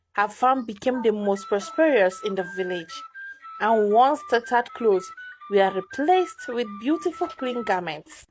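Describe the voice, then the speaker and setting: Lively woman telling a folktale, music behind. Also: fairly high pitch, low-quality recording. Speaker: storyteller. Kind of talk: scripted folktale narration.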